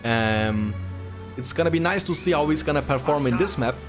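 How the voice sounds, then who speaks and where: A man in his twenties from Turkey, casting a strategy game tournament with quick play-by-play and light jokes. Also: a little high, lively intonation. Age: 20s. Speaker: esports caster. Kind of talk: game commentary. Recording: music playing behind.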